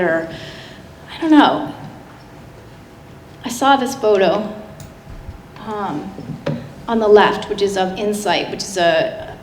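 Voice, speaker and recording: A woman giving a public slide presentation in a conversational, halting way with frequent asides. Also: fairly low pitch. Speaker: guest speaker giving a public talk. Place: indoor public talk venue. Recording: roomy, echoing hall with noticeable noise.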